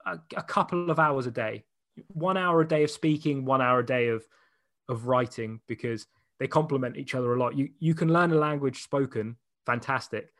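A man's voice: medium pitch, a bit bright, dry recording, studio quality. Young man, casual interview guest, easygoing anecdotal storyteller, laughs readily. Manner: chatty storyteller, quick to laugh. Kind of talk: casual chat.

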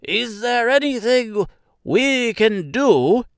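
A man voicing a small, loyal field mouse in a shrill, eager, deferential voice for a storybook. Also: animated, slow pace, clean tone, no echo, clean studio sound, exaggerated voice. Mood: humble. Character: small excitable field mice, loyal subjects of their queen. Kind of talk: theatrical storybook character reading.

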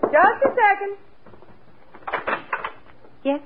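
A polite but anxious female secretary in a vintage radio drama. Her high voice swoops around inside a tinny, noisy, clicking old broadcast. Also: animated, drawn-out words, heavily acted. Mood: polite, uneasy. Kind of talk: 1940s radio drama acting.

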